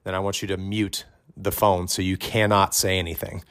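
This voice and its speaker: Male fitness coach, 30s, fairly low and loud voice, casual podcast advice full of fillers. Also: level tone, moderate pace, clear, resonant tone. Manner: conversational, many fillers, says his voice is loud. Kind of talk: instructional podcast talk.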